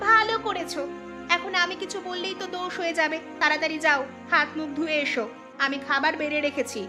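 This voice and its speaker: Woman voicing a high-pitched, nagging cartoon wife. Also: fairly even intonation, fast, music in the background, natural voice. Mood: exasperated. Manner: scolding, exasperated. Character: nagging, disdainful village wife waiting on her husband. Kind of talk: cartoon folk-tale dialogue acting.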